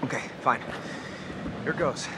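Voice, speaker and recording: A man's voice: a little slow, very noisy, lightly acted. An actor playing a laid-back, level-headed young man in a horror video game. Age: young adult. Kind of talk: video game cutscene acting. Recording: loud game ambience behind.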